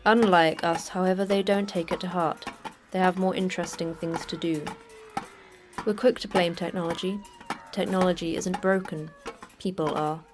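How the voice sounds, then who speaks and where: A woman's voice: on the low side, moderate pace, close to an everyday voice. Woman acting a terse, sardonic station manager, music behind. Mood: dry, sardonic. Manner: brusque, dry, deadpan sarcasm. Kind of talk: audio fiction podcast acting.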